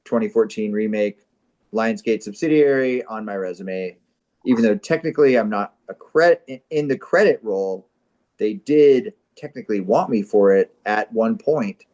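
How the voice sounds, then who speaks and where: A man's voice: lowish voice, moderate pace, a little mellow, natural voice. A man playing a middle-aged struggling actor in a comedy, boastful and defensive, talking in a hesitant, rambling, earnest way. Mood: defensive boasting. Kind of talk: improvised-sounding comic dialogue.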